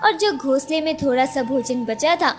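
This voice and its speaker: High-voiced woman narrating a children's story. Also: moderate pace, faint music behind.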